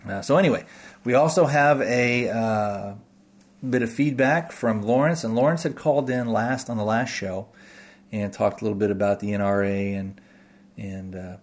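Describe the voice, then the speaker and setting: A man's voice: fairly low pitch, moderate pace. An American man hosting a podcast, talking off the cuff to listeners in a rambling, tentative way as he thinks aloud. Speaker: podcast host. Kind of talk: unscripted talk to listeners.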